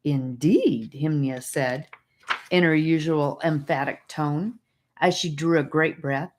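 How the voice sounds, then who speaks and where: A woman's voice: deep voice, fairly even intonation, a little slow, slight background noise. A woman reading a scripted audiobook story as its narrator.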